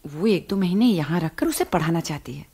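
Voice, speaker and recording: Radio drama wife and mother: earnest, principled, argumentative, animated intonation, faintly husky. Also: fairly low pitch, brisk. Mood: persuading, indignant. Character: middle-class wife and mother, caring, reproachful, principled. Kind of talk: Hindi radio drama acting.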